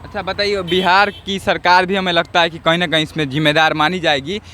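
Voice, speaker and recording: Male field interviewer, bright forceful voice pitched a little high, news-channel style, recorded outdoors. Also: flat delivery, brisk, a little hiss or hum. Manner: interjects short agreements while listening. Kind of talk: on-location street news interview. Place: outdoors in a town, near a road.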